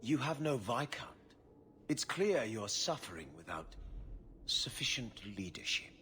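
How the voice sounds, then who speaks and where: An actor in a video game playing a pushy military lieutenant with a formal, condescending manner. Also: male voice, medium pitch, very animated, a little slow, a bit bright, a little hiss or hum.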